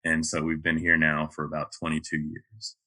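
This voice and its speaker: A man in his fifties from North Carolina with a neutral mid-Atlantic American accent, speaking as a podcast guest in a measured way, with frequent ums. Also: very deep voice, monotone, pushed and bright. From North Carolina, USA. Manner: measured, many ums and uhs. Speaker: podcast guest, project manager. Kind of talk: casual interview talk.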